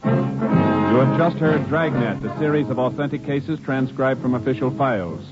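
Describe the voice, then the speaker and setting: A man's voice: medium pitch, moderate pace. Radio outro: male announcer, formal delivery, mid-range voice, prominent music behind. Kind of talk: 1950s radio scripted narration. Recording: dull old radio, loud music.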